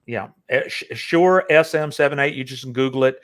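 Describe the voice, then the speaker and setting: A man's voice: medium pitch, normal speed. An older man hosting a casual interview, talking in long rambling stretches full of fillers and restarts. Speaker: interview host.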